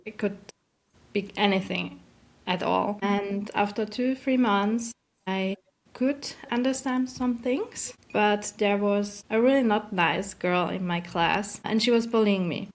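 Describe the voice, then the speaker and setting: A young woman, a teacher in training, speaking in halting English and searching for words as a guest in a casual conversation. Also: mid-range voice. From Slovakia. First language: Slovak.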